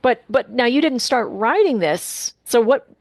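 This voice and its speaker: A woman's voice: on the high side, lively intonation, unhurried, no room sound. A woman interviewer chatting casually, circling informally and a little tentatively toward her questions.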